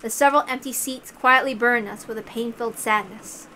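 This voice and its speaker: High, bright-voiced woman narrating a story. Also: fairly even intonation, brisk, slight background noise, rough recording. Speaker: story reader. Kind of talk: dramatic narration of a visual novel.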